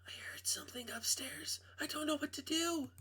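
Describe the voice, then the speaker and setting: A man voicing a frightened, hesitant young woman who talks in a hushed, anxious voice in a visual novel. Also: very high-pitched, moderate pace, whispered, pitched above the performer's own voice. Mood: scared, hushed.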